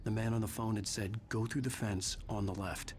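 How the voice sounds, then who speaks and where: An actor playing a troubled, anxious writer in a video game, speaking in a terse, grave way. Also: male voice, lowish voice, fairly even intonation, moderate pace, background noise, natural voice. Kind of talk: video game dialogue and scripted narration.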